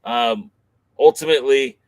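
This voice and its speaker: A man's voice: medium pitch, a little slow, darkish tone, no echo. A man co-hosting a podcast, recalling past events in a rambling, casual way with many fillers. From Southern California. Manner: rambling, filler-heavy recollection. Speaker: podcast co-host.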